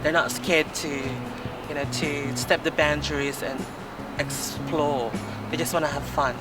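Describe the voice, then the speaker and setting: A man with a Filipino accent answers interview questions in an animated way, often saying "you know". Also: a little high, unhurried. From Philippines. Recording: distant mic under loud music.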